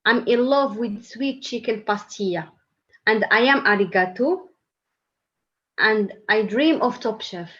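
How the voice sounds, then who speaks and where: A woman's voice: a little high, unhurried, a bit bright, dull audio. A woman student on a video call reading her playful introduction aloud.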